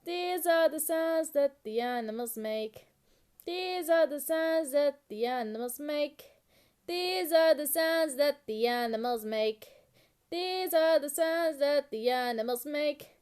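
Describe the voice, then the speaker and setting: A woman delivering a children's animal-sounds rhyme in a simple, repetitive, deliberate sing-song. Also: sits high, darkish tone.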